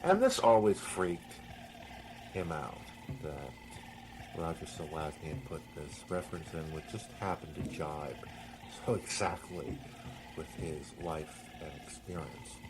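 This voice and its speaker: A man telling a story in an informal, conversational way, halting and pausing mid-sentence. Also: extremely low pitch, animated, noisy background. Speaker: storyteller reading an original story. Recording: music playing behind the voice. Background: music.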